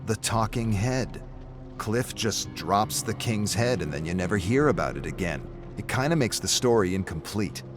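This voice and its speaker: Man playing a blunt, nitpicking skeptic, lowish voice. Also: lively intonation, normal speed, over music, close to an everyday voice. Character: nitpicking male skeptic, contrary and opinionated listener.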